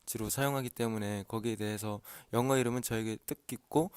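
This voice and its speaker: A man's voice: lowish voice, fairly even intonation, rapid-fire, a little mellow, smooth, no room sound. A man from Seoul answering interview questions in a formal, polite manner. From Seoul, Korea.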